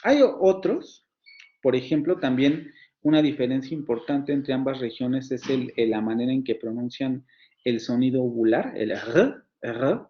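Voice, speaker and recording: A man's voice: mid-range voice, animated, normal speed. A man with a Mexican Spanish accent, a university phonetics professor giving an online lecture, explaining with frequent fillers, restarts and rhetorical questions. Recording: dull lo-fi sound.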